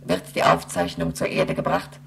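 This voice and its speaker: A space station computer in a radio drama, speaking in a very deep, creaky register. It recites technical information formally and impassively, with no personal feeling. The track carries some noise and hum. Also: sing-song, normal speed, exaggerated voice. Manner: formal, impersonal, recited delivery.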